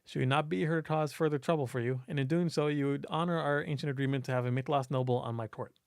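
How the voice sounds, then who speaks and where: A man's voice: medium pitch, steady tone, fast talker, vocal fry, clear, resonant tone, no echo, clean studio sound, lightly acted. A man voicing an earnest, kind, brave young queen in a visual novel. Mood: earnest, polite. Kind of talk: visual novel character reading.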